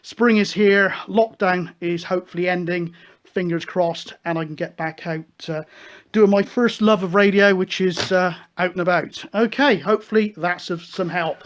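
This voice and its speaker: A man's voice: high voice, fairly even intonation, moderate pace, a bit bright. A man from the UK hosting a hobby show, explaining things casually in a chatty, rambling, hesitant way. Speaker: host of a CB radio show.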